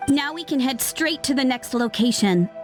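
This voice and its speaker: High, lively, decisive young adventurer. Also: female voice, moderate pace, loud music behind the voice. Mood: confident. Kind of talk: video game voice acting.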